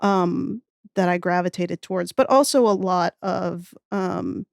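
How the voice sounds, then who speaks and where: A woman's voice: on the low side, a little slow, vocal fry, no room sound, clean studio sound. A woman in her thirties chatting as a podcast guest in a thoughtful, wry, nerdily enthusiastic way. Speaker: podcast guest, audio fiction writer. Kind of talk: casual interview conversation.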